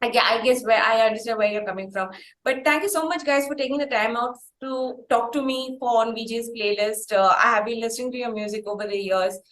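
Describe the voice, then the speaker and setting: A woman's voice: on the high side, quick pace, fairly bright tone, echoey room, slight background noise. A woman with an Indian English accent hosting a conversational interview, talking in long, wordy, circling questions. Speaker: interviewer, podcast host.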